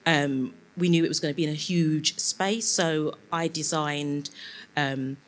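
A woman presents her designs to a live audience. She pauses often and uses fillers as she talks through the pieces. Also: deep voice, steady tone. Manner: hesitant, with fillers.

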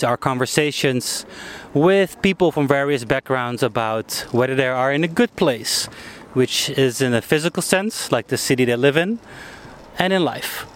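A man hosting a casual interview outdoors, speaking somewhat hesitantly as he searches for words. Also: medium pitch. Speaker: interview host. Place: outdoors on a bench in a busy city square. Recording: clear outdoor traffic and city noise. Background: traffic and city ambience.

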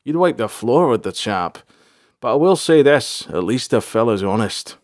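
A man's voice: mid-range voice, big pitch swings, normal speed, no echo, studio quality, lightly acted. A man voicing a blunt, sardonic sergeant of a band of fantasy soldiers, talking in colloquial speech. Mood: blunt accusing. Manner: blunt, colloquial, dryly sarcastic.

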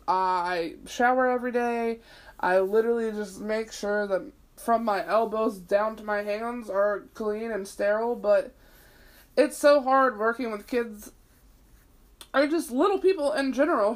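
A woman in her twenties hosting her own podcast, rambling casually, swearing freely and leaning on "like," with a sniffly, itchy throat. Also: mid-range voice. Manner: chatty, swears freely, lots of "like". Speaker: podcast host.

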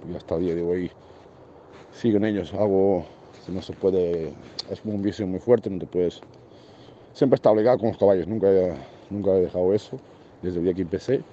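Muffled, very dark-voiced Portuguese man. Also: brisk. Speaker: horse racing guest. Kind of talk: casual interview talk. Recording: muffled low-fidelity link with faint noise.

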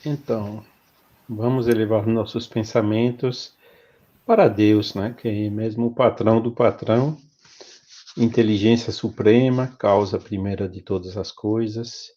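A man with a Recife accent, French by birth, speaking calmly as a guest in an online talk. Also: on the low side. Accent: Recife Portuguese. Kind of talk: online conversation and prayer. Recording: muffled lo-fi audio.

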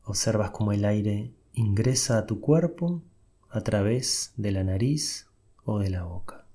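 A man leading a guided meditation, giving soft, unhurried instructions with long pauses. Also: lowish voice, lively intonation, slightly rough.

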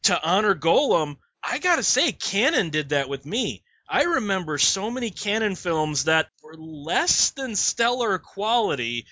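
A man hosts a podcast in a high, bright voice, full of wisecracks and jokey asides. The audio is dry, dull and lo-fi. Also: very animated, a little slow, few pauses, no room sound. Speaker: podcast host. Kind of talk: casual podcast hosting.

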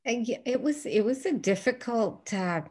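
A woman's voice: a little high, animated, normal speed, a little mellow, husky. Earnest middle-aged woman physician guest. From Newfoundland, Canada. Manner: earnest, reflective, frequent restarts. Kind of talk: interview conversation.

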